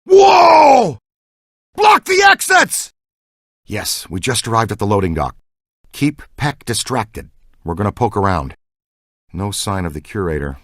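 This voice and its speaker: A man's voice: lowish voice, huge pitch swings, a little slow, long pauses, a bit bright, slightly rough, no echo, clean studio sound, heavily acted. A man voicing an eager, good-natured ghost-hunting scientist in a video game, earnest and technical. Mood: excited, urgent. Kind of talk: video game dialogue, battle shouts and banter.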